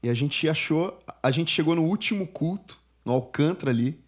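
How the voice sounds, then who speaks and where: A man in his thirties, a native Spanish speaker, telling his story as a podcast guest in a casual, animated way. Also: a little high, moderate pace. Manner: chatty, animated storyteller, laughs while telling.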